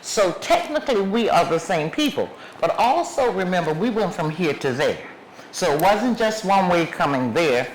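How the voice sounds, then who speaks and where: A woman's voice: lowish voice, very animated, normal speed. A woman giving a lecture as guest speaker in a museum, stressing points and listing places one by one. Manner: emphatic, lists places one by one. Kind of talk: public lecture with questions. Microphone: clip mic under a shawl. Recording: echoey room, faint background noise.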